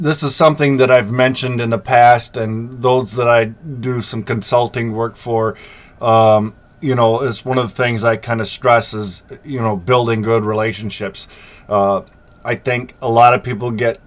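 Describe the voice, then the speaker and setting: A middle-aged man hosting a freight business channel, talking casually to viewers with a slight sore throat, plenty of fillers and easygoing asides. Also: mid-range voice. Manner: conversational, many fillers, reassuring asides. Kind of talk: casual talk to camera.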